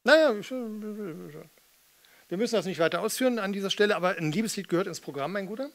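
A man's voice: sits high, expressive, brisk, creaky, a bit bright. An older man, a stage performer, bantering casually between songs into a microphone on a stand. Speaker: performer, singer and spoken-word artist. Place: small theatre with red seats and curtains. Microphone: studio mic on stand with pop filter.

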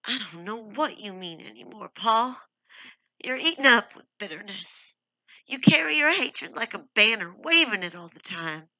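A woman's voice: mid-range voice, lively intonation, normal speed, sharp tone, no room sound, pitched above the performer's own voice, low-quality recording. A woman reading a hurt, defiant young wife.

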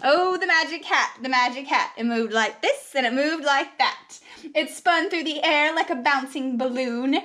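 A young woman reading a children's picture book aloud in an expressive, sing-song, drawn-out preschool teacher's manner, recorded at home on a camera mic. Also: sits high. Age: young adult.

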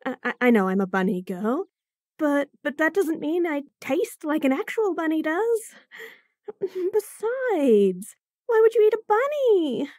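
A woman's voice: high-pitched, big pitch swings, unhurried, darkish tone, dry recording, studio quality. A woman voicing a bratty, flustered young bunny girl who stammers and giggles nervously in a roleplay audio.

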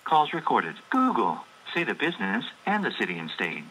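A man's voice: medium pitch, huge pitch swings, normal speed, bright, forceful tone. A man's automated directory-assistance voice giving prompts over a telephone line. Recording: slightly noisy phone line through speaker.

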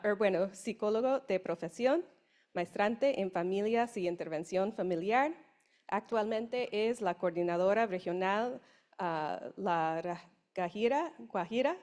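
Slow, halting woman moderator. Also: medium pitch, a little mellow. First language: English. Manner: hesitant, halting, slow with restarts. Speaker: session moderator. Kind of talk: reading speaker introductions. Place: conference room on stage. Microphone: handheld mic.

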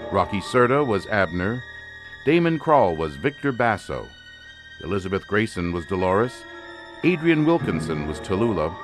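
A man announcing a suspense radio drama in a scripted, dramatic manner. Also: lowish voice. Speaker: announcer and actor. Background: music.

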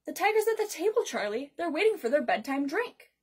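A storybook little girl, acted out by a woman, with a high voice. She is stubborn and imaginative. She insists on her excuses at every turn. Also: big pitch swings, brisk. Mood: insistent.

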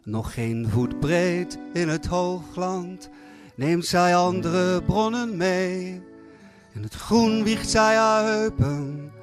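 A woman's voice: lowish voice, slow. A woman performing lyrical verse as a poetic recitation set to music. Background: loud plucked guitar.

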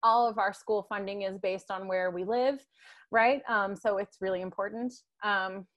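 A young woman from Tennessee giving a guest lecture over a video call on an earbud headset, often filling with ums and adding "right?" to her points. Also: medium pitch. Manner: frequent ums and tag questions like "right?".

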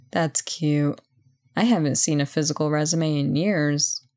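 A woman playing a brisk, playful company boss in an audio roleplay job interview, chatty and full of wry asides. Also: sits low, a little mellow. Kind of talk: ASMR roleplay, one-sided conversation.

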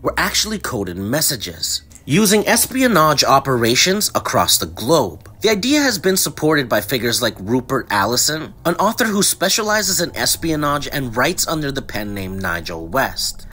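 A man reading scripted mystery documentary narration with measured, dramatic phrasing. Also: fairly high pitch, bright, forceful tone.

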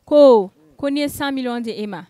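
A young woman with a Malian accent interviewing passers-by with quick, teasing questions, holding a handheld mic on a street outdoors. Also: a little high, warm tone, dry recording. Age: young adult. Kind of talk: street vox pop interviews. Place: dusty residential street outdoors.

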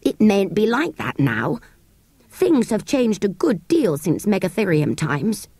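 A woman's voice: mid-range voice, big pitch swings, measured pace, warm tone, slightly rough, no echo, heavily acted. A woman voicing a plucky, earnest young schoolboy in a children's storybook. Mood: gloomy.

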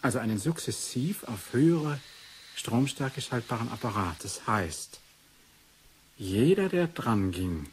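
An actor playing a pensive doctor looking into a suspicious death in a radio drama, speaking deliberately with weighty pauses. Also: male voice, mid-range voice, animated, lightly acted. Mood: pondering. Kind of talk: 1980s German radio drama acting. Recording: hissy 1980s radio play recording.

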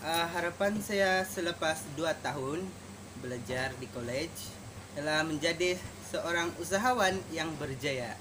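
A man's voice: a little high, measured pace. A young man from Sabah, a student introducing himself to camera. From Kota Belud, Sabah, Malaysia. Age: young adult. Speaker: college student. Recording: noisy recording with ambient sound.

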